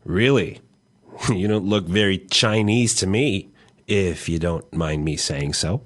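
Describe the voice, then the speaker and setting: A man playing a friendly, nosy American taxi driver of forty in a slow, clear language-lesson dialogue. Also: sits low. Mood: curious. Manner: slow, exaggeratedly clear delivery.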